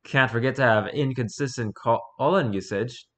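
A man's voice: mid-range voice, normal speed. A man reading aloud casually with wry offhand asides, sometimes stumbling over the words as he goes. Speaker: streamer reading and reacting to wiki pages.

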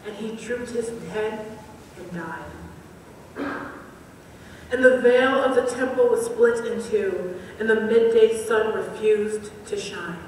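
Impassioned woman reciting in an echoing hall. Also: a little high. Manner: impassioned, swelling loud then dropping to a hush; chanting, repetitive preacher-like cadence. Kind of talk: dramatic religious poetry recitation. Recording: echoey hall, distant mic, faint hiss.

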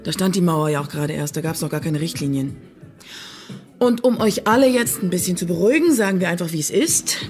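An actress playing a brusque, sharp-tongued woman in a radio drama, speaking in a curt, colloquial manner. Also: on the low side, lively intonation, a little fast, lightly acted. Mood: dismissive. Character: brusque, sharp-tongued female commune resident. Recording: studio radio play with music behind.

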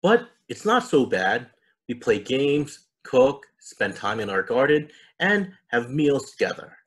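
A man's voice: medium pitch, big pitch swings, slow, husky, voice pushed up, full character voice. A man voicing a cheerful, caring young girl in a storybook, upbeat and earnest.

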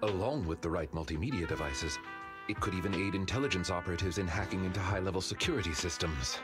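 Deep, composed werewolf butler played by a man. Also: lightly acted. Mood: calm, formal. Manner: formal, measured, polite. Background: game music.

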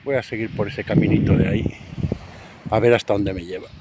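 A man's voice: on the low side, fairly even intonation, brisk. Chatty older man vlogging outdoors. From Spain. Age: 60s. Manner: chatty, talks to viewers while walking and working. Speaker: retired sailor vlogger. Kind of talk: casual vlog narration. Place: outdoors on a boat, beach and town. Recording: noisy outdoor camera audio.

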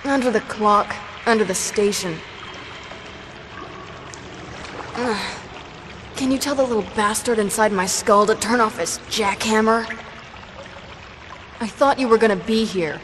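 A woman's voice: medium pitch, moderate pace, close to an everyday voice. Woman playing a sardonic vampire heroine, noisy backdrop. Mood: irritated. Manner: sardonic, cocky. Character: sassy, defiant young half-vampire woman fighter. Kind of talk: video game acting. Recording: noisy game ambience behind voice.

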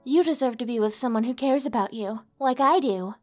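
Woman acting a high-voiced, sugary, possessive lovestruck girl. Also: fairly quick, soft background music, close to an everyday voice. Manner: coy, sugary, insinuating, hesitant. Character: sweet, clingy, possessive lovestruck young woman neighbor. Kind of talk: ASMR roleplay addressed to the listener.

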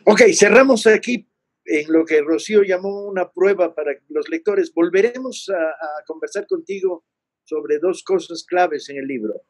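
A Mexican man in his sixties, co-hosting an interview over a video call with a measured delivery. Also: high voice, expressive, dry recording. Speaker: co-host. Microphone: webcam on video call.

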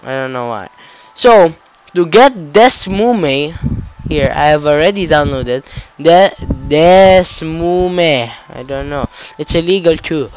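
A teenage boy with a slight cold walks through a computer tutorial, rambling and correcting himself as he goes. His voice sits a bit high, and he speaks slowly. The laptop mic picks up plenty of noise and clicking. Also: animated, poor audio. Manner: rambling, self-correcting, swears casually. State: has a cold. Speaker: tutorial maker. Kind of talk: casual screen-recorded tutorial. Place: indoor room at a computer.

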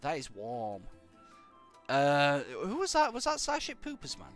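A young man with a British accent and a croaky voice from hay fever, chatting to viewers in a rambling, jokey way while he plays a game live. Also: on the high side, huge pitch swings, unhurried, soft background music. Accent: British English. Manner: rambling and jokey, hums nonsense syllables. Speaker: game streamer.

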